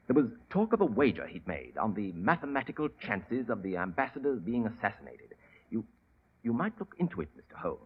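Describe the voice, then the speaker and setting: An actor playing a nervous, hesitant young man who stammers and speaks low, in a 1940s radio drama. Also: wildly swooping pitch, brisk, breathy edge, exaggerated voice. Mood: hesitant, hushed. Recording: dull lo-fi old radio broadcast.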